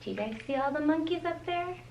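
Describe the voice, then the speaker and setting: Expressive woman reading to children, lively high pitch. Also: quick pace, highs cut off, a little hiss or hum. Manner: expressive, sing-song, addresses young listeners. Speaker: dance school teacher reading to children. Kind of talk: children's picture-book read-aloud with asides. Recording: roomy echo, distant microphone.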